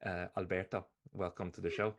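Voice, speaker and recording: A man's voice: low voice, little pitch movement, moderate pace, creaky, a crowd in the background. A man from Switzerland hosting a conversational interview. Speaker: interview host.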